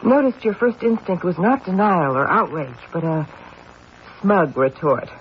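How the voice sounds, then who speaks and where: An actor playing a skeptical, businesslike police detective with pointed questioning, in an old-time radio drama style. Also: male voice, high voice, lively intonation, unhurried. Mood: probing, cool. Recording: muffled old radio recording, faint noise.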